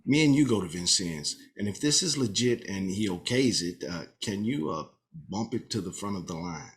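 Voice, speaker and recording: Man playing eager police inspector, comedy table read: chummy, jittery, very lively intonation. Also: on the low side, normal speed, close to an everyday voice. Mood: chummy, persuasive.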